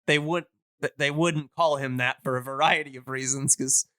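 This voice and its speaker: A man's voice: medium pitch, steady tone, normal speed, sharp tone, no echo, studio quality. A man in a casual podcast chat, explaining things enthusiastically and in a discursive, meandering way.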